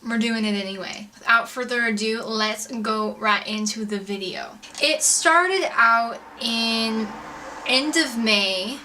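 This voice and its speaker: A woman's voice: a little high, bright, forceful tone. A young American woman telling a personal story casually to camera, chatty and informal, like a vlogger. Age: young adult. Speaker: vlogger and singer-songwriter. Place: indoors, makeshift home filming setup. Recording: distant mic, roomy home video, background noise.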